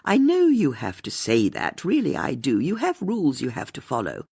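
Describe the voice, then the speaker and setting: An audiobook narrator voicing a confident, bossy older woman amateur sleuth with a brisk, emphatic manner. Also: medium pitch, wildly swooping pitch, darkish tone, breathy edge, no room sound, clean studio sound, exaggerated voice. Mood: indignant, imperious.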